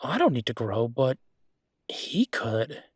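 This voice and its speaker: A man's voice: medium pitch, very animated, takes it slowly, husky, no room sound, full character voice. Man acting easygoing boyfriend, close dry sound. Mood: musing, persuasive. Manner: casual, chatty, thinking aloud. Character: easygoing, affectionate male restaurant owner in the mountains. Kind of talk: boyfriend roleplay audio drama, one-sided conversation.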